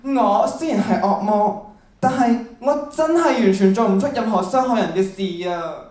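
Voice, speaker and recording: A teenage girl playing a timid, self-doubting, gentle-hearted demon in a radio drama. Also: mid-range voice, normal speed, recorded from a distance, close to an everyday voice. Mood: dejected. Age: teens. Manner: self-doubting, earnest, plaintive. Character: timid, gentle-hearted young demon who hates injustice. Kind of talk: stage radio drama acting. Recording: echoey hall, off-mic.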